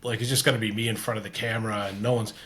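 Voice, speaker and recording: A man's voice: lowish voice, little pitch movement, rapid-fire, a bit bright. Earnest rambling Canadian man. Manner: rambling, restarts often, earnest. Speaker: podcast host. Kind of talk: casual interview chat.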